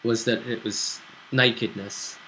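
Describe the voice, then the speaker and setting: A man chatting casually as game master, recapping a tabletop role-play session in a halting, storytelling way with pauses. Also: mid-range voice, steady tone, pushed and bright, slight background noise, poor audio.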